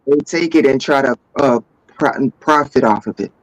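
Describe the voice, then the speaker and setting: A man's voice: mid-range voice, moderate pace, dry recording, low-quality recording. A man chatting casually as a participant in a group discussion. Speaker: talk show participant. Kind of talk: casual chat.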